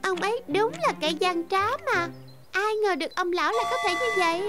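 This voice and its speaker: A woman voicing an earnest, excitable little girl train in a cartoon. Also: very high voice, brisk. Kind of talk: cartoon dubbing. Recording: loud music behind the voice.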